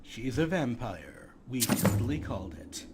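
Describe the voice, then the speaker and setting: A man's voice: mid-range voice, lively intonation, unhurried, very noisy. A man hosting a playful let's play, with a wry, mock-grandiose way of commenting. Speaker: gaming video host.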